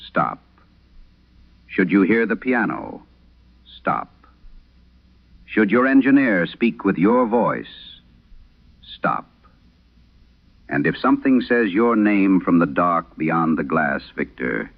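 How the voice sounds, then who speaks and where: An actor playing an aging, haunted priest, giving a slow, solemn confession in a grave, measured horror radio monologue. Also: male voice, low voice, sing-song, creaky, exaggerated voice. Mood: grave warning. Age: older. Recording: muffled vintage radio sound.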